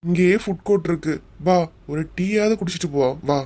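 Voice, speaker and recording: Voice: mid-range voice, a little fast, dark tone, close to an everyday voice. A performer voicing a persistent, reassuring young male suitor in a cartoon story, with a coaxing manner and a Tamil Nadu accent. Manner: coaxing, insistent.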